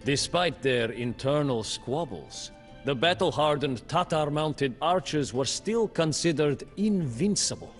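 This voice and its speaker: A solemn male chronicler recounts old battles in unhurried, measured storybook narration. His delivery moves slowly, with a lively, rolling pitch. Soft music sits faintly beneath the studio voice. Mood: grave. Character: male captive chronicler telling a war tale. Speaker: campaign narrator.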